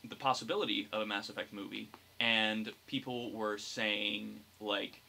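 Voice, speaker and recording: Bright, lowish-voiced young man chatting. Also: fairly even intonation, moderate pace, vocal fry. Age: young adult. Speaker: podcast co-host. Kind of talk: casual podcast discussion.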